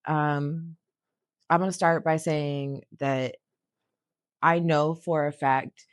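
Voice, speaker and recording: Raspy low-voiced woman, blunt and joking. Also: fairly even intonation, slow, no room sound, clean studio sound. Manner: blunt, joking, swears freely. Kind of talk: casual chat.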